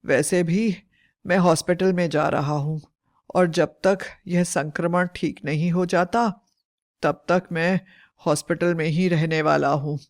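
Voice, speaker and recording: A woman voicing a grave, caring middle-aged doctor father in a storybook tale, explaining things in a measured way. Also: fairly low pitch, steady tone. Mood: grave, concerned.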